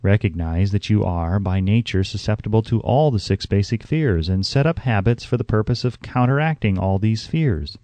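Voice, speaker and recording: A man reading a self-help audiobook in a measured, instructive way, with carefully phrased scripted narration. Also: lowish voice, warm tone, dry recording.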